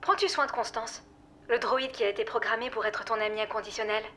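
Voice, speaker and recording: An actress playing a weary, exasperated former partner in audio fiction, blunt, sarcastic and firm. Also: female voice, a little high, steady tone, a little fast, bright, forceful tone, a little hiss or hum. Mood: reproachful. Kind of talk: audio fiction drama acting.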